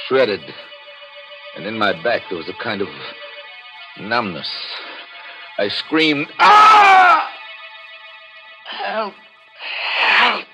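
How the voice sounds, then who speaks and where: A man acting a gunshot victim who narrates his own murder in anguish, in the halting, dramatic style of 1940s radio thrillers. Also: medium pitch, poor audio. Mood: pained, grim, then desperate. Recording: vintage 1940s radio recording with music.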